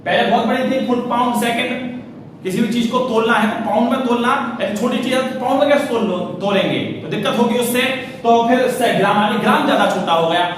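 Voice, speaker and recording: A male teacher speaks in a notably high voice. He drills his points by posing short questions and answering them, repeating key terms as he goes. The sound is very echoey and picked up from some distance, with faint noise behind him. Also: brisk. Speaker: teacher for competitive exam students. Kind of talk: classroom lecture on physics, writing as he explains. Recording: roomy echo, distant mic, faint noise.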